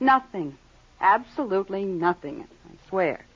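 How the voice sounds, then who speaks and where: Tense, cornered radio wife. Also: female voice, medium pitch, very animated, a little slow, husky, full character voice. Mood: edgy, evasive. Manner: guarded, on edge. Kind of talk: old-time radio drama acting. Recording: muffled old-time radio recording.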